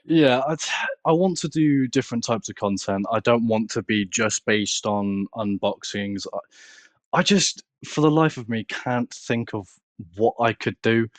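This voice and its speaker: A man's voice: medium pitch, animated, measured pace, no room sound. A man with a British accent chatting casually as a podcast guest and content creator. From United Kingdom. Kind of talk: casual chat.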